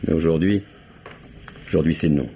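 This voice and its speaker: An actor playing a disillusioned middle-aged stage actor who speaks in a weary, curt, sardonic way, in an old radio play. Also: male voice, extremely low pitch, moderate pace, dark tone. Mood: dry. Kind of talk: 1950s French radio drama acting. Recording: muffled old radio broadcast with faint hiss.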